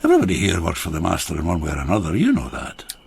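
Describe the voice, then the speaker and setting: A country labourer in a period radio drama, with a very rough, husky voice full of gravel. He tells things wryly and ruefully, his pitch moving in wide swings. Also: huge pitch swings, racing, a little mellow, exaggerated voice. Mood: scornful, animated. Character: rueful, confessional rural labourer of old Japan.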